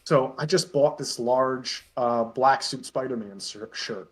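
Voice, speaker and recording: A man telling casual anecdotes as an interview guest on an online voice call, in a halting, stop-and-start way. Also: mid-range voice. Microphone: voice call on Discord.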